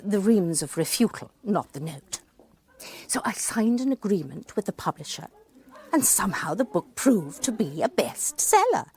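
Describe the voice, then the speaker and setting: A woman's voice: mid-range voice, a little mellow, husky. Casting: middle-aged British lady psychologist, dry humour, self-deprecating, confiding radio drama narrator. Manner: chatty, dryly humorous, confiding. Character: wry, self-deprecating lady psychologist, former French teacher.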